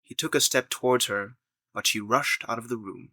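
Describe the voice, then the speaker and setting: A man's voice: medium pitch, normal speed, heavy background noise. A man narrating a scripted story in the third person as the narrator of an audio drama.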